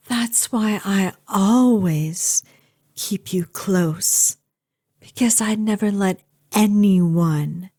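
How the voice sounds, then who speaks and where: An obsessive vampire girlfriend, played by a woman, murmurs in a very slow, breathy voice with a mellow tone. She is intimate and sweetly menacing, slipping often into whispers, in the style of an ASMR roleplay. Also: mid-range voice. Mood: possessive, menacing. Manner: slow, intimate, casually morbid, doting. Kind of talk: ASMR audio roleplay addressed to the listener.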